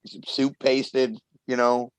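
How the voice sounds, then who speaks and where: A man's voice: medium pitch, completely flat delivery, takes it slowly, smooth, dry recording, poor audio. A man chatting casually with fellow cast members in a wry, joking way. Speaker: cast member in a post-show discussion. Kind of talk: casual group conversation.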